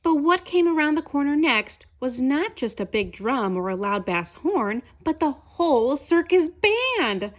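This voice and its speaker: Expressive, high-pitched woman reading to children. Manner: expressive, deliberate phrasing for young listeners. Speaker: storyteller reading a picture book aloud. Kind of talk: children's read-aloud narration.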